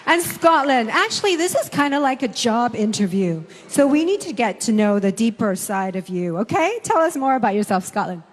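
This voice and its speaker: A woman's voice: fairly high pitch, very animated, normal speed, hardly any pauses. A woman hosting a live stage pageant, emphatic and informal with contestants, switching between two languages. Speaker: pageant host. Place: large echoing hall, live stage event. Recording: long hall reverb.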